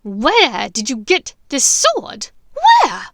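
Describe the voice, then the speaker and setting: A woman voicing a crazed, furious witch in an audiobook story, seething and shouting menacingly. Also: high voice, wildly swooping pitch, very slow, gravelly, dry recording, studio quality, pitched above the performer's own voice, full character voice. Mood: seething fury. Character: crazed, furious adult witch interrogating a captive.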